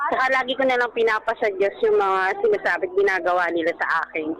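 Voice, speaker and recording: A woman's voice: mid-range voice, lively intonation, quick pace, rough recording. A Filipino woman speaking politely and personally as a caller on a radio phone-in show. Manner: polite, deferential speech. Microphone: phone call. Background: background music.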